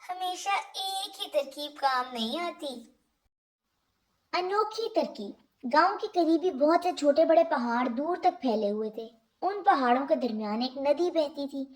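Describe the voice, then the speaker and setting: A woman narrating scripted moral stories for children in a deliberate storybook manner. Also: high voice, expressive.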